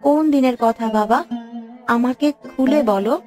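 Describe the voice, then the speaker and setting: Actress as caring young daughter, cartoon fairy tale, gentle, higher voice over soft music. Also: female voice, normal speed, natural voice. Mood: concerned. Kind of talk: Bengali cartoon story dubbing.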